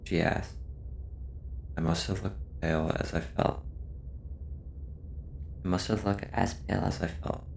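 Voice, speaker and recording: Deep, gravelly man narrating slowly. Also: little pitch movement, darkish tone, a little hiss or hum. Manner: slow, measured, subdued delivery. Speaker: horror story narrator. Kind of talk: scripted first-person horror narration.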